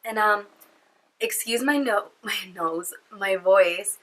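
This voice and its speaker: A young woman talks to the camera at home, her voice nasal and stuffed up from a cold. Her tone is bright and forward. She rambles casually with plenty of fillers. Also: mid-range voice, slow pace. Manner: chatty, rambling, lots of fillers. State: congested from a cold. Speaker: beauty vlogger. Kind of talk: casual chatty vlog. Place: at home indoors.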